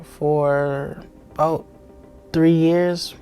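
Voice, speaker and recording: Slow, monotone 19-year-old Philly man. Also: a little high, background noise. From Philadelphia. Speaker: former foster youth interviewee. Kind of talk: documentary interview.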